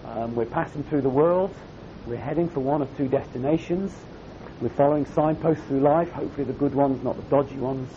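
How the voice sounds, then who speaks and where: Croaky male youth speaker, chatty and emphatic, muffled recording, light background hum. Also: brisk. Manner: conversational, emphatic repetition. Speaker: camp speaker. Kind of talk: youth sermon. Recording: dull lo-fi recording with faint rumble.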